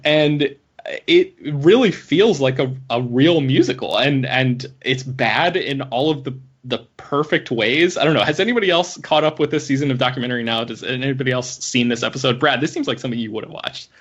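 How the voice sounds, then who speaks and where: A man's voice: mid-range voice, a little fast, fairly bright tone. Rambling man on a dull-sounding podcast. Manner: rambling, hedging with fillers. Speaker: podcast host. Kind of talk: casual podcast chat. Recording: slightly dull podcast audio.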